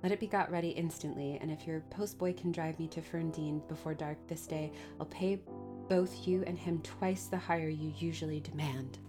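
A woman's voice: deep voice, steady tone, normal speed, music in the background, pitched below the performer's own voice, close to an everyday voice. A woman voicing an anxious young woman who asks earnest, pressing questions. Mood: urgent.

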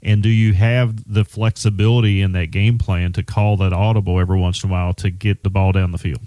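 A financial advisor hosts a radio show in a relaxed, plainspoken way, often restarting his sentences. His voice sits low and sounds dry and close to the mic. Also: fairly quick, dry recording. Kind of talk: conversational radio finance talk.

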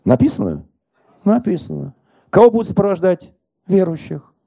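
A middle-aged man preaching a sermon as pastor, telling stories and questioning the congregation in a lively conversational way. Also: high-pitched, moderate pace, gravelly, breathy edge, no echo, studio quality. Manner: conversational, animated storytelling to congregation.

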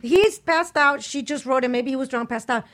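A woman's voice: sits high, normal speed, a bit bright. A woman co-hosting a radio talk show, chatting casually and explicitly in a blunt, opinionated, sweary way.

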